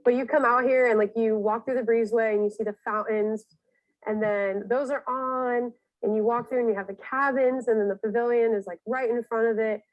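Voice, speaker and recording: A woman's voice: a little high, steady tone, fairly quick, dry recording, muffled recording. A young woman from Ohio, a music therapy student chatting enthusiastically in a testimonial. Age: young adult. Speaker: camp counselor. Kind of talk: testimonial interview.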